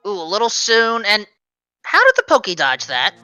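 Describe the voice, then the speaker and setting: A woman's voice: mid-range voice, huge pitch swings, moderate pace, pushed and bright, no echo, faint music behind. A woman doing casual, playful commentary on a video game race, explaining the game's logic and teasing her co-commentator. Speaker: speedrun commentator.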